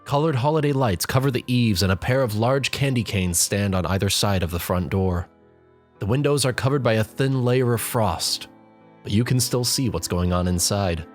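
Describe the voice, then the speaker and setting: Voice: mid-range voice, normal speed. A narrator reading a comic story in the second person in a wry, exasperated way, with music behind. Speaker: fan fiction narrator.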